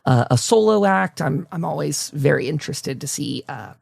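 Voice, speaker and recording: A man hosting a casual podcast interview with enthusiasm, working his way through rambling, halting questions with repeated words. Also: a little high, expressive, creaky, darkish tone, dry recording, clean studio sound. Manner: enthusiastic, effusive.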